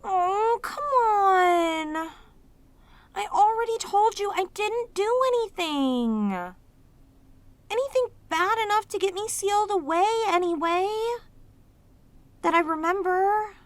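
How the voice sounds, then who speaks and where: A woman voicing a lonely, clingy, sweet female demon in an ASMR audio roleplay, with an earnest, halting, pleading manner. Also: very high voice, expressive, no room sound, exaggerated voice. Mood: pleading, indignant.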